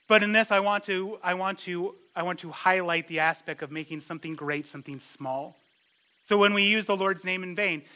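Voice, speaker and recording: Middle-aged pastor preaching, earnest and chatty, bright forceful tone, slightly high voice, leans on key words. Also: male voice. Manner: earnest and conversational, with slow emphatic stresses. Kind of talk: expository sermon.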